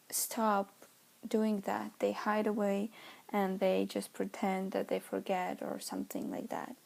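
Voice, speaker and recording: A woman with a Romanian accent, a native Romanian speaker, giving a halting class presentation as a student and often searching for words. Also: mid-range voice, gravelly, darkish tone.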